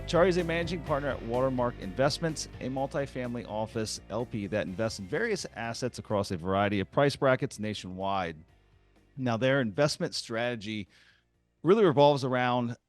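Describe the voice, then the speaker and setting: A male podcast host delivers his lines with an eager, salesy lift, then loosens into rambling, self-correcting questions. The sound is dry and close, with faint music underneath. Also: no room sound. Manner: energetic pitch, then rambling restarts when asking. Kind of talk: podcast interviewing and read ad.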